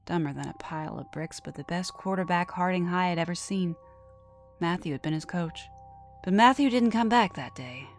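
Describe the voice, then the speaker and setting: A woman narrating a scripted horror story dramatically, often dropping to a hush at the ends of phrases. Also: on the low side, normal speed. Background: background music.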